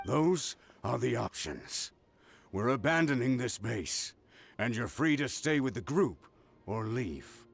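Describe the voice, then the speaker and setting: Gravelly, resolute game commander. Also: male voice, medium pitch, sing-song, slow pace, faint music behind, full character voice. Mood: grave, measured. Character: resolute male military commander, grave and protective. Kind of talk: video game voice acting.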